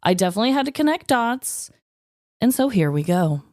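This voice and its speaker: A woman co-hosting a comedy podcast, casual and playfully expressive as she chats and tells stories. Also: on the high side, brisk, no room sound, studio quality. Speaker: podcast co-host. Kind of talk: casual comedic chat and storytelling.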